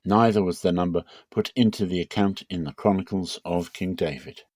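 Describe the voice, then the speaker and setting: A man with a low voice gives a dramatic reading of scripture. He is measured and unhurried, stretching key phrases. The recording is close and dry, with no room sound. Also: steady tone, darkish tone, no echo. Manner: measured, slows for emphasis. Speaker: Bible reader.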